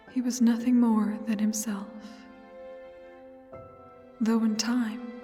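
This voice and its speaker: A woman narrating a fairy tale in a solemn, dramatic storybook style, with deliberate pauses between short phrases. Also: medium pitch, dark tone, in a large hall.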